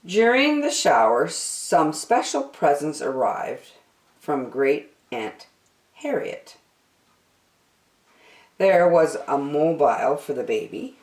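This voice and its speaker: A woman storyteller reads a children's book expressively. Her delivery is slow with a very lively range of pitch, and the recording has a roomy echo.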